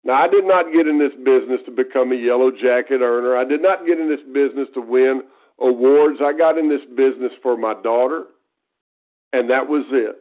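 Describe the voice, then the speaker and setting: A folksy man in his fifties with an East Texas drawl speaks with earnest conviction, often circling back to restart a phrase. His voice sits at an ordinary middle pitch for a man. It comes through a thin, tinny phone line. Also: fairly quick. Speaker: guest speaker. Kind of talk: conference call talk. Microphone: telephone.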